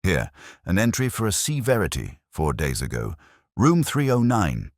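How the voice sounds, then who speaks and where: A man's voice: deep voice, huge pitch swings, moderate pace, dry recording, studio quality. A man reading a scripted story aloud as an audiobook narrator.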